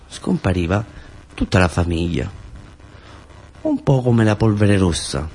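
A man's voice: low-pitched, a little mellow, breathy edge. A man with a southern Italian accent performing a theatrical storytelling monologue in short, colloquial, repeated phrases. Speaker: actor and storyteller.

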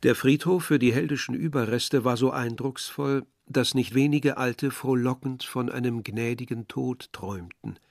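Radio drama: a brooding village narrator, measured and bitter, medium pitch, very dry close sound. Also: male voice, no echo. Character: reflective Algerian village man recalling war and dead brother. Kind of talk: literary radio play narration. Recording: dry studio, faint music behind.

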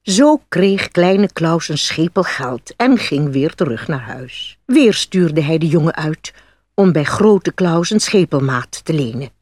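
A female storyteller reads a scripted children's fairy tale. Her voice has a husky edge and moves animatedly up and down, captured close and dry, with a dramatic read-aloud delivery. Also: deep voice, lively intonation, takes it slowly, darkish tone, no room sound.

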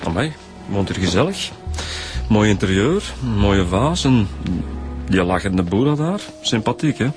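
A lively, ironic Flemish detective. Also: male voice, lowish voice, measured pace, faint music behind. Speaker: police inspector. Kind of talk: radio drama acting.

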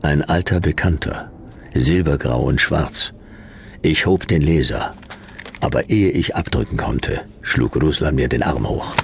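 A man playing a laconic private detective in a sci-fi radio play, narrating in clipped, deadpan with a gravelly voice. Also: very deep voice, a little slow, slight background noise, exaggerated voice. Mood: dry, laconic. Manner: clipped, dry, deadpan delivery. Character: Jonas, laconic hardboiled male private detective narrating his case.

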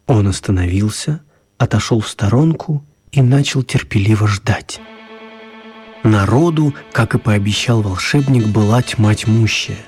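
An actor playing a storyteller, narrating a children's radio tale with measured dramatic pauses. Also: male voice, on the low side, a little mellow, breathy edge. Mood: dramatic narration. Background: music.

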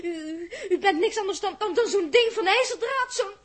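An actress playing a distraught, accusing young woman in a radio drama, tearful and halting as she speaks. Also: very high-pitched, animated. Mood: bitter accusation. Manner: tearful, halting, repeating herself. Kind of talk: 1960s radio drama acting.

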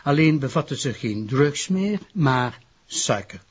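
A husky, genial Flemish prosecutor. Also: male voice, mid-range voice, lively intonation. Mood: confiding, formal. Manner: jovial, hesitant pauses, formal. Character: genial, smooth-talking male public prosecutor, authoritative host. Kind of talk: radio drama acting. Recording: dull, muffled radio drama recording.

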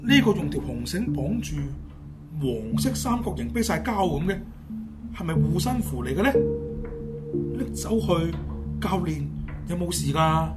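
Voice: medium pitch, expressive, measured pace, long pauses, natural voice. An actor playing a timid but outspoken teenage schoolboy, exclamatory and pleading, in a Hong Kong Cantonese radio drama. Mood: hesitant. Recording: music behind the voice.

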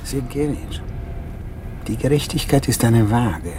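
A man's voice: mid-range voice, lively intonation, a little slow, lightly acted. A man acting a stern, contemptuous Soviet colonel in a radio play, lecturing in a sententious, menacing way. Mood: stern contempt. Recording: noisy car interior ambience. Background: car noise.

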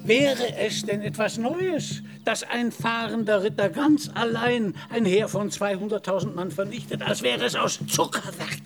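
An actor playing a gaunt old gentleman, grand and earnest in his knightly delusion, in a radio drama. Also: sits high. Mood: fervent.

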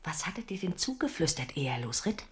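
An actress in a German radio drama playing an eager, ambitious confidante who urges and scolds. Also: female voice, on the low side, brisk, a little hiss or hum. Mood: urgent, coaxing. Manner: urgent, scolding, excitable. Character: eager, ambitious female confidante scheming for wealth and power.

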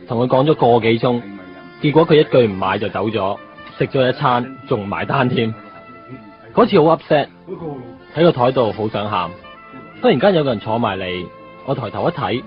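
A man's voice: mid-range voice, close to an everyday voice. Earnest young Hong Kong salesman, played by a man. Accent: Hong Kong Cantonese. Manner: conversational storytelling with English words mixed in. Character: earnest married insurance salesman, wistful and needling. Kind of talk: radio drama dialogue. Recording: muffled radio drama with music bed.

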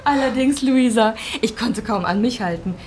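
A woman's voice: on the high side, normal speed, a little mellow, a little hiss or hum, close to an everyday voice. Woman playing a haughty, snobbish society lady. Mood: mocking glee. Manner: disdainful, arch. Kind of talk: German radio play acting.

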